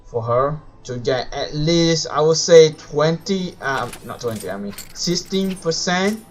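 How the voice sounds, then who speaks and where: Slow-talking man live streaming a game. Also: mid-range voice. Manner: hesitant, rambling, self-correcting. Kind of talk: casual live stream commentary.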